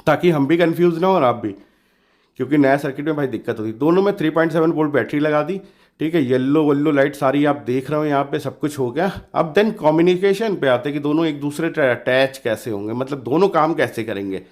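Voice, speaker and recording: A man's voice: on the high side, fairly quick. A man hosting a hands-on electronics tutorial in a casual, informal, colloquial way, often repeating himself for emphasis. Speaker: DIY electronics channel host.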